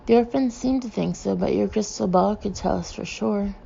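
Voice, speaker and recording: A woman's voice: medium pitch, normal speed, gravelly, warm tone, dull audio, a little hiss or hum. A woman voicing a demanding, self-important woman, a villain's girlfriend, in a storybook. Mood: haughty.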